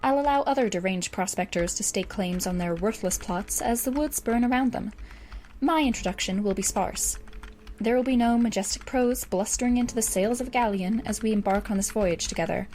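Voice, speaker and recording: A wry, articulate teenage girl voiced by a woman in an audio drama monologue. She speaks in an ordinary female register, dry and wordy. Soft music sits underneath. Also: mid-range voice, a little fast, close to an everyday voice. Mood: dry, grave. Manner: verbose, dry, sardonic. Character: wry, erudite teenage girl writing a doomsday game walkthrough.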